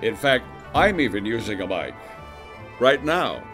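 A man's voice: mid-range voice, big pitch swings, unhurried, a bit bright, soft background music. A man narrating a scripted awareness video in an upbeat, lightly joking voice-over.

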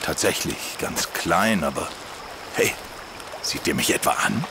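Swooping, sceptical, chiding colleague. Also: male voice, deep voice, animated, measured pace. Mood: sceptical teasing. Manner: chiding, exclamatory. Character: sceptical, worried male colleague on a fishing trip. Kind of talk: German audio drama acting. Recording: clear outdoor ambience noise behind.

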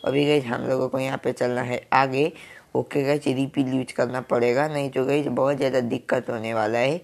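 A young man with a Hindi accent gives casual play-by-play commentary on a video game, his voice hoarse from a cold. He sounds gravelly and flat and drops "guys" between nearly every phrase. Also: medium pitch, normal speed. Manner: filler-heavy, keeps saying "guys". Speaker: gaming YouTuber.

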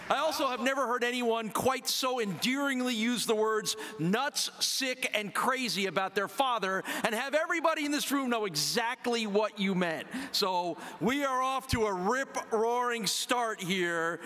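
A middle-aged man hosts an awards ceremony from the podium in a high, bright voice. He is upbeat and lightly joking, and the long reverb of the big hall trails behind every line. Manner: upbeat, lightly joking, introducing the next speaker. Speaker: master of ceremonies. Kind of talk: emcee remarks between speeches. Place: large banquet hall, at a podium. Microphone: podium microphone.

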